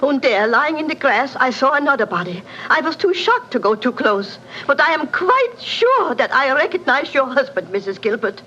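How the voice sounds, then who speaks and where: An actress playing a fretful German widow with a German accent in a dramatic, foreboding 1940s radio style. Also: female voice, sits high, big pitch swings, moderate pace, hardly any pauses, pushed and bright, exaggerated voice. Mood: shaken. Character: distraught German widow, foreign lady, fretful and ominous. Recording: dull 1940s radio recording.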